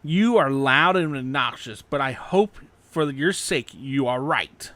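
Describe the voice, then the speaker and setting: A man's voice: mid-range voice, animated, a little slow, fairly bright tone, no echo. A man voicing a stern, proud samurai warrior in an audio story, with a solemn, commanding manner.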